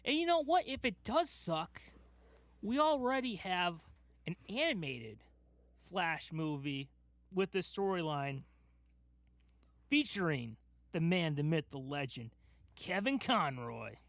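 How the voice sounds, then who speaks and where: A man's voice: high-pitched, expressive, slow, pauses often, fairly bright tone, no echo. A man co-hosting a casual fan podcast, talking in an emphatic, enthusiastic way and repeating himself for stress. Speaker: podcast host.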